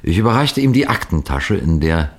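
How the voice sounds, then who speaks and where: A man playing a composed, clever police detective, recounting a case in measured, precise radio mystery narration. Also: low-pitched, big pitch swings, heavily acted. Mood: measured, suspenseful. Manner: measured, precise, deliberate storytelling.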